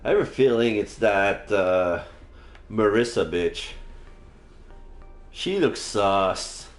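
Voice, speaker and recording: A man's voice: fairly low pitch, expressive, takes it slowly, faint music behind. A man reading a visual novel aloud on a livestream, casually adding his own reactions as he goes. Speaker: live streamer. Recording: echoey room.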